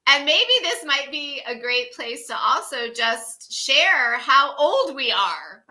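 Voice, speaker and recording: A woman's voice: high-pitched, lively intonation, slow pace, bright, forceful tone. A woman in her fifties co-hosting a wellness livestream, talking in a casual, effusive way and laughing often. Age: 50s.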